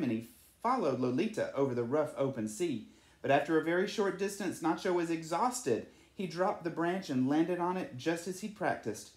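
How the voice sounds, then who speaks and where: Male storyteller, children's read-aloud, mid-pitched voice, strongly roomy recording. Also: a little mellow. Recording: echoey room.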